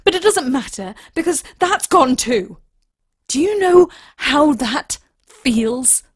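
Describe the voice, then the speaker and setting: A woman playing an emotional, traumatized young woman in an audio drama, with a husky voice and halting delivery. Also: high voice, expressive, no room sound, clean studio sound. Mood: bitter, furious. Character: traumatized young woman learning her lost family history.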